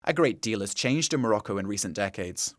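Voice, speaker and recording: A man narrating a radio documentary feature as the reporter, in a calm, measured scripted delivery. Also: fairly low pitch, dry recording, studio quality.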